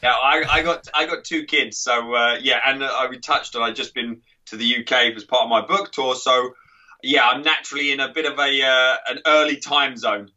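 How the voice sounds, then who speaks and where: Chatty English man, bright and distant. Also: mid-range voice, steady tone, normal speed, muffled recording. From England. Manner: chatty, laughing, rambling with restarts. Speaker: podcast guest, author and coach. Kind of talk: casual interview chat.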